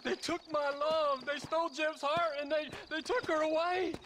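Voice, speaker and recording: An actor playing a frantic, unhinged, lovesick backwoods simpleton in a video game. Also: male voice, extremely high pitch, steady tone, normal speed, fairly bright tone. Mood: desperate, pleading. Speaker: game character. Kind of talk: video game cutscene acting. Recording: noisy outdoor game ambience.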